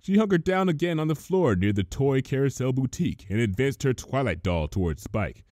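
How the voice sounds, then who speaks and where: A man narrating a scripted story as an expressive reader. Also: mid-range voice, a little fast, dry recording, studio quality. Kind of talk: scripted narration, expressive fan fiction reading.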